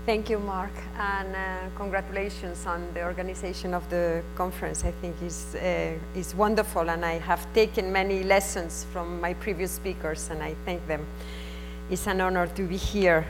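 A woman's voice: on the low side, fairly even intonation, moderate pace, over music, low-quality recording. Spanish-accented woman giving a conference talk. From Spain. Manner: frank, conversational, speaks in short bursts. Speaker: invited conference speaker. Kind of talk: conference presentation. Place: conference hall.

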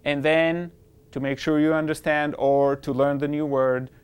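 A man's voice: on the high side, flat delivery, a little slow, smooth. A man whose first language is Hebrew, presenting an upbeat, explanatory screencast tutorial on classroom apps. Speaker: educational technology presenter.

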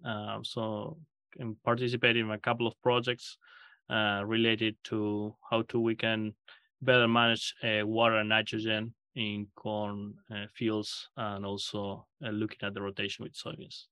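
A man from Argentina, a guest researcher, speaking haltingly with many fillers in a podcast interview. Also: lowish voice, monotone, bright, forceful tone, smooth, dry recording.

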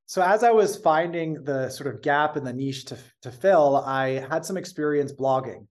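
A man with an American accent, a company founder talking as an interview guest, telling his story in a measured, fluent way with the odd filler. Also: a little high. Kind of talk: casual interview talk.

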